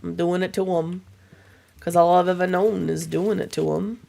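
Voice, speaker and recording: Young woman streamer, low gravelly voice, still hoarse from a cold, slow rambling chatter, swears loosely. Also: fairly even intonation, warm tone. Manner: rambling, swears casually, chats to viewers. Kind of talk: casual livestream chat while gaming.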